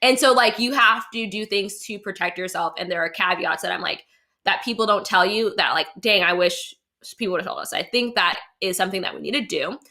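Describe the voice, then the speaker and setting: A woman's voice: medium pitch, brisk, sharp tone. A young woman hosting a casual, chatty online show in a playful, teasing, informal way. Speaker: talk show host. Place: remote livestream.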